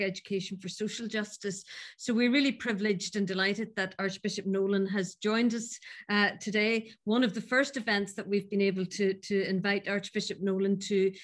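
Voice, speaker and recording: A woman opens an online seminar with a formal welcome. She stumbles and repeats words often as she goes. Her voice sits at an ordinary middle pitch. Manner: warm but halting, with many repeated words. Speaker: head of school introducing a speaker. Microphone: computer mic on a video call.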